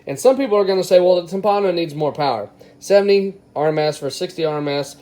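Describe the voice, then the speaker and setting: A man's voice: high voice, expressive, fast, mellow. A man hosting a casual, unscripted product test, talking informally to his viewers as he reviews gear. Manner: informal, addresses viewers as guys. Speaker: car audio product reviewer and video host. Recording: clearly noisy background.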